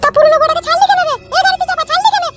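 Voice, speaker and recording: A performer voicing a combative young village wife in a cartoon comedy, sharp-tongued, scornful and quarrelsome. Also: extremely high pitch, steady tone, racing. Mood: scornful, furious. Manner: scolding, quarrelsome. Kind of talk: Bengali cartoon comedy dialogue.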